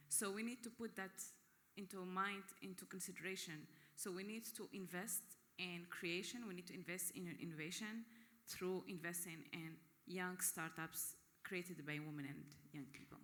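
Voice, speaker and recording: A woman speaks with insistent force in a bright, sharp voice. She sounds like a panelist pressing her case at a conference, and the large hall adds a long reverb to her words. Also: lowish voice, moderate pace. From Morocco. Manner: emphatic, insistent. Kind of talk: impassioned panel speech at a conference.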